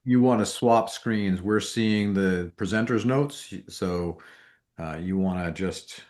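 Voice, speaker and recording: A man on a town board, speaking at a public meeting in a halting, helpful way as he guides someone through a problem. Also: fairly low pitch, darkish tone. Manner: halting, helpful, guiding through tech trouble.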